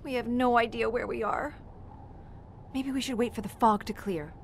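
High-pitched, fretful young woman on the run. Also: steady tone, moderate pace, breathy edge. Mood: uneasy. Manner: hesitant, fretful. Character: frightened young woman bank teller on the run. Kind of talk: period suspense audio drama acting.

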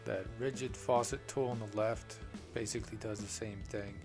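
A man's voice: fairly low pitch, fairly even intonation, normal speed, music in the background. A man, a plumbing contractor, walks through his tools off camera, talking plainly and unhurriedly with many "uh" fillers. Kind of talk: off-camera tool walkthrough.

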